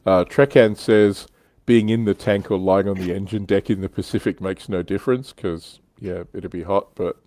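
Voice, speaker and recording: A man's voice: deep voice, fairly even intonation, moderate pace, hardly any pauses, dark tone, no echo. A man hosting a casual hobby livestream, chatting informally and jokily as he reads out viewer comments. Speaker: livestream host.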